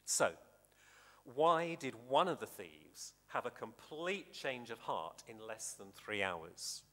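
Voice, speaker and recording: Male preacher, sermon delivery, bright forceful tone, highly varied pitch, deliberate phrasing, long hall reverb. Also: big pitch swings. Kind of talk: sermon with scripture reading.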